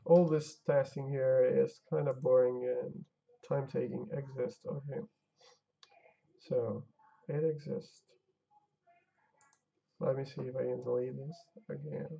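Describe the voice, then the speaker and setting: A tired game-dev streamer, his Albanian, drifts between mumbling over his code and chatting with viewers. His voice is gravelly and unhurried. The stream audio is dull and lo-fi. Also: mid-range voice. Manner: muttering to himself while testing, talking to chat. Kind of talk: casual live-stream chat while coding.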